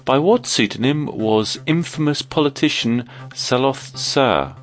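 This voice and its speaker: A man with a cold and a croaky voice, hosting a quiz and reading out the questions clearly. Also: mid-range voice, lively intonation, unhurried, soft background music. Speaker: quiz host.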